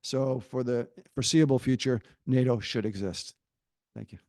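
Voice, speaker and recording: A middle-aged man, a professor, giving a prepared argument at a panel in a measured way, pausing often with fillers. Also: medium pitch, steady tone, warm tone, dry recording, clean studio sound. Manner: measured, pauses with frequent fillers.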